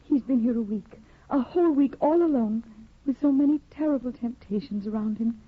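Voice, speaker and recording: Timid, frightened wife; stammering and pleading; husky, dry, phone-thin radio sound. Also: female voice, on the high side, no room sound. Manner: halting, stammering, anxious. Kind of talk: 1950s radio drama acting. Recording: tinny, dry 1950 radio broadcast.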